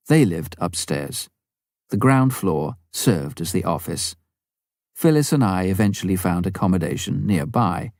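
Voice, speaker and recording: A man reading an audiobook as scripted narration, with measured, clearly phrased delivery. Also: low-pitched, darkish tone, no echo, clean studio sound. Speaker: audiobook reader.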